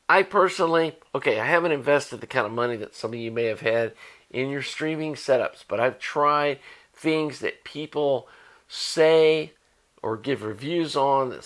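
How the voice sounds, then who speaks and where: A man's voice: mid-range voice, a bit bright. American guy doing a casual hobby vlog, informal and opinionated, just chatting thru his gear. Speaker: host of an audio electronics channel. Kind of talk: casual explanatory vlog talk.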